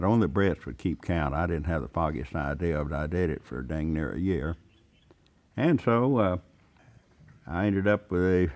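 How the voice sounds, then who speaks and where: An eighty-year-old man, a veteran pilot telling stories in a plainspoken, folksy way. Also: low voice. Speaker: veteran warbird pilot recalling his career. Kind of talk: unscripted storytelling interview.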